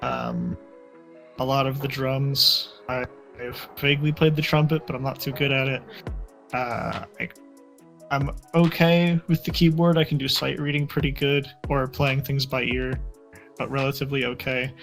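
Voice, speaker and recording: A man's voice: medium pitch, steady tone, moderate pace, vocal fry, a bit bright. Young man chatting over music. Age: young adult. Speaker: interview guest. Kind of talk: casual interview chat.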